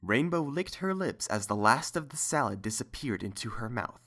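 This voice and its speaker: A man's voice: medium pitch, very animated, moderate pace, pushed and bright. A man reading a scripted story as its narrator.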